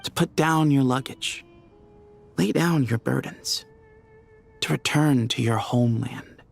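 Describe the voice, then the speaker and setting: Playful, gravelly young desert heir. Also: male voice, medium pitch, unhurried, faint music behind. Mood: friendly, persuasive. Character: friendly, streetwise young male desert heir. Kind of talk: video game voice acting.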